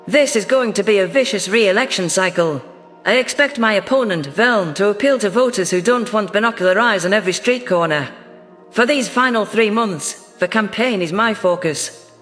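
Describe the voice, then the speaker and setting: High-voiced male narrator, science fiction. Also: moderate pace, a bit bright. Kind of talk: scripted first-person science fiction narration. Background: faint music.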